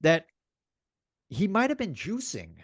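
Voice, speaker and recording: A man's voice: high-pitched, wildly swooping pitch, a little slow, breathy edge, dry recording, studio quality. A man co-hosting a sports podcast in casual chat, speaking emphatically and repeating phrases for effect.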